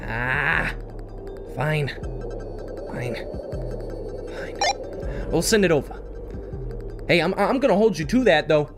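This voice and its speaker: A man's voice: a little high, sing-song, slow pace, vocal fry, long pauses, full character voice. A man playing a driven, hot-tempered police detective with a troubled past in an audio drama, terse and confrontational. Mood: exasperated. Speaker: voice actor. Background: music.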